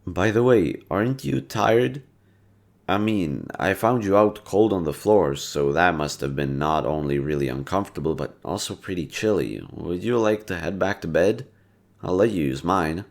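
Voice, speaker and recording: Man acting as a very low-voiced, teasing, kind guy. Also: expressive, normal speed, vocal fry. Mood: caring, gentle. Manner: playful, casual and slangy, with muttered asides. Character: kind, teasing, easily flustered young man sheltering a cat girl. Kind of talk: roleplay audio, spoken directly to the listener.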